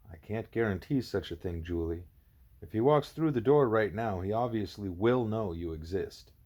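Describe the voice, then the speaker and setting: A man voicing a calm, wise male gorilla teacher from a storybook, speaking in measured, formal, patient phrases. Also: on the low side. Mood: calm, questioning.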